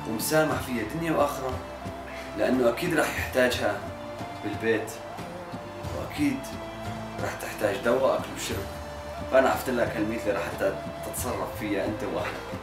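A man playing a calm, generous shopkeeper who speaks softly and reassuringly, recorded from a distance in a roomy space with music behind. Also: lowish voice, racing, hardly any pauses, close to an everyday voice. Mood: gentle. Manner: gentle, warm, reassuring. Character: generous, calm shopkeeper friend, soft-spoken.

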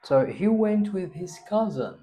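A man's voice: high-pitched, very animated, measured pace, dark tone, a little hiss or hum. A young man giving a spoken English test, patiently prompting and correcting a student's answers in a small classroom. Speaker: English teacher.

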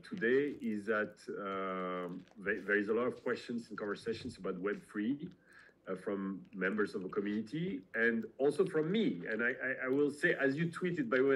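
A middle-aged man with a husky, breathy voice hosts a casual online call. He talks with frequent pauses and filler words. His voice is heard through a video-call mic. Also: lowish voice, low-quality recording. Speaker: host.

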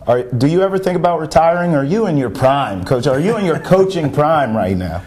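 A man's voice: a little high, animated, brisk, darkish tone. A man in his thirties hosting a local sports show in a TV studio, upbeat and playful, on a lapel mic. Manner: upbeat, playful broadcast delivery. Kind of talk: studio interview.

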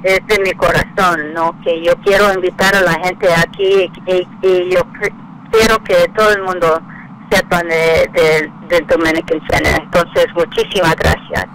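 A woman interview guest who is not a native speaker, offering sincere thanks in halting phrases with tag questions. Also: on the low side, little pitch movement, a bit bright, slight background noise, poor audio. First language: not Spanish. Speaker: spiritual center representative, interview guest.

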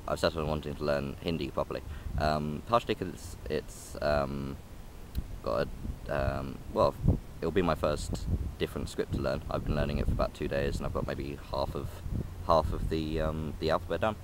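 A man's voice: very deep voice, fairly even intonation, normal speed, noisy background. A young man vlogging outdoors, hesitant and rambling with many ums and restarts. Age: young adult. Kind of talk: casual rambling vlog. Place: outdoors in a park by a railway line. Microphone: phone or camera held close.

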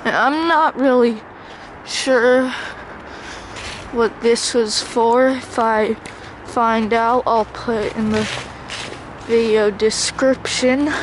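A vlogger narrating a casual walk-through outdoors in a halting, unscripted way, picked up by a handheld camera. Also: mid-range voice, fairly even intonation. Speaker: vlogger exploring ruins. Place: outdoors in woods by a river and bridge. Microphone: handheld camera mic, operator behind camera. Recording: noisy outdoor camera audio. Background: ambient outdoor noise, passing vehicles.

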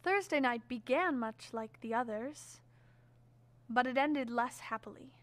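An actress playing a grave, learned scholar narrating a horror radio drama in formal, deliberate literary language. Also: female voice, sits high. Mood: grave, ominous. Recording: reverberant hall-like echo.

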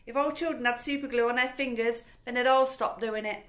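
A woman reading a storybook aloud, voicing a bossy middle-aged mother who scolds loudly. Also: fairly high pitch, fairly quick, roomy sound, voice pushed up, lightly acted. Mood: scolding. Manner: loud, scolding.

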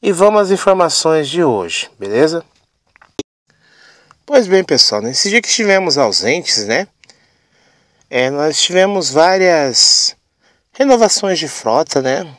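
Hoarse middle-aged guy chatting casually on his channel, highish voice, very dry sound. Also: very animated, takes it slowly, long pauses, a bit bright, dry recording. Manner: chatty, frequent "né" and "pessoal" tags. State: hoarse from a cold. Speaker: channel host. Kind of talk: casual vlog news.

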